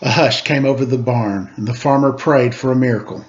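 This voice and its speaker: A man reading a children's story aloud in an expressive, dramatic storytelling manner. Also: medium pitch, a little fast, dull audio, slight background noise. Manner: dramatic read-aloud, acting out sound effects and dialogue.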